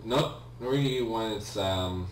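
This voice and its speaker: A man with a low voice talks slowly through a video game as its player and host. He is hesitant and rambling, often correcting himself mid-thought. The recording is echoey, as if the mic sits at a distance in a room. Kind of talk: casual gameplay commentary. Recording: roomy, distant mic with faint hum.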